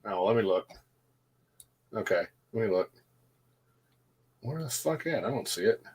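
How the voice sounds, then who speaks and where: A man's voice: on the low side, expressive, unhurried, a little mellow, slightly rough. Easygoing, self-deprecating man on a live stream. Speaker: co-host. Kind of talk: casual live-stream chat.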